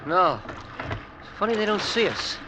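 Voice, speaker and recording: Humble ship's cook, very noisy. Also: male voice, high-pitched, very animated, normal speed. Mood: puzzled, subdued. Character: humble, puzzled ship's cook adrift in lifeboat. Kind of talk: 1940s-50s radio drama acting. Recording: old radio recording, loud sea noise.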